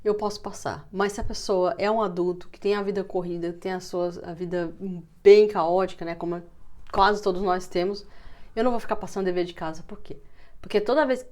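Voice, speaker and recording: A woman's voice: fairly low pitch, steady tone, fairly quick, a little mellow. A woman with a Brazilian Portuguese accent, speaking as a language teacher in an informal, explanatory talk, stressing key words.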